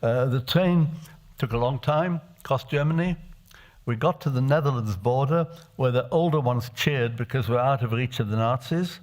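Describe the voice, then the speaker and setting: An older man from Czechoslovakia, a native Czech speaker, giving a reflective speech as a parliamentarian at a desk microphone in an assembly chamber, halting and restarting often. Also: medium pitch, darkish tone. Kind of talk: personal testimony speech.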